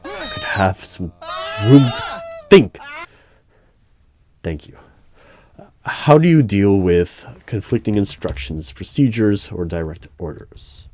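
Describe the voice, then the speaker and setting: A man playing an unnerved, exasperated hiring manager in a one-sided audio roleplay, with halting speech that repeats words. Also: on the low side, lively intonation, a little mellow. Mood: exasperated, pleading, then weary, matter-of-fact.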